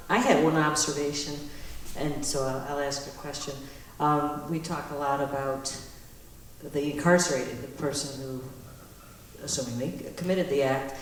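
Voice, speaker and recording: A woman talking informally, putting a question in a tentative, musing way. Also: sits low. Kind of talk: informal question from personal experience. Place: echoey meetinghouse hall. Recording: echoey hall, distant mic, faint noise.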